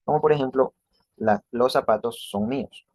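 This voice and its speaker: Male lesson narrator, mid-pitched voice, halting delivery with self-corrections, dry recording. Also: darkish tone, no room sound. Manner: halting, stumbles over words and corrects himself. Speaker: presenter of a grammar lesson.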